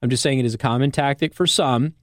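A man's voice: mid-range voice, quick pace, dry recording, studio quality. An American man speaking as a radio talk show host, explaining things conversationally and often checking that listeners follow. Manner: explanatory, checks in with "okay?" and "all right?". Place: radio studio. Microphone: close studio microphone.

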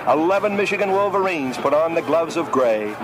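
A man reciting a rhymed sports poem in a dramatic, measured storytelling cadence. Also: on the high side, lively intonation. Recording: noisy archive clip.

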